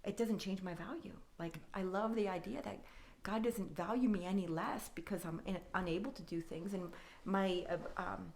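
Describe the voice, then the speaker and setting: A woman's voice: mid-range voice, fairly even intonation, moderate pace, creaky, few pauses. A woman speaking in a reflective way in a conversational podcast discussion, repeating phrases for emphasis. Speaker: podcast guest.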